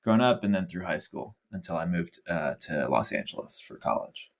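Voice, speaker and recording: A man from Texas with an American accent and a fairly low voice. He talks casually as an interview guest, telling things in a conversational way. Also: big pitch swings, brisk, gravelly. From Dallas, Texas, United States. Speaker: podcast guest, tech founder.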